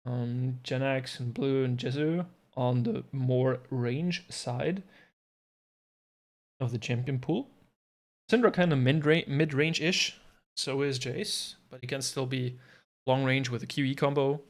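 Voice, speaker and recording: A man's voice: mid-range voice, measured pace. A man giving casual live commentary on an esports match from his home setup, thinking aloud and adding self-deprecating asides. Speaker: esports co-streaming caster.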